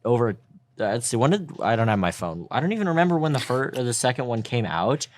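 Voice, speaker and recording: A man's voice: mid-range voice, sing-song, fast. A young man in casual conversation about video games, with a blunt, opinionated, teasing way of talking. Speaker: podcast co-host.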